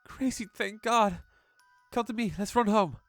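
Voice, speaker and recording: A man's voice: sits high, unhurried, fairly bright tone, no echo, soft background music, pitched above the performer's own voice. A man playing a desperate young boy protecting his sister, pleading and urgent, in a horror story.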